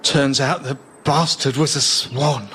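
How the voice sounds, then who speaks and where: A man's voice: mid-range voice, steady tone, slow, heavy background noise, lightly acted. A man playing an aggrieved, grumbling ugly duck in a radio comedy sketch, bitter and indignant. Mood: bitter indignation.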